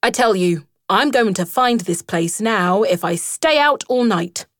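A woman voicing a kind, resolute female water rat who talks cheerfully and briskly. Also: medium pitch, lively intonation, no room sound, clean studio sound, voice pushed up, lightly acted. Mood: hearty. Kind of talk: storybook character voice.

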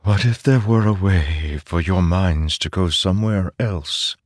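An ancient spirit of the wind, female and timid, as a male narrator plays her. She speaks slowly and hesitantly, with a tremble and a gentle plea. It is a dry audiobook sound. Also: low voice, no room sound. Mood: tentative, fearful. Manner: uncertain, gently pleading.

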